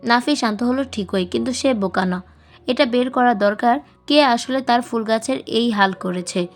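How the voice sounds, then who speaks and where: A woman's voice: on the high side, moderate pace, faint music behind. A woman reading a novel aloud as a scripted audiobook narration.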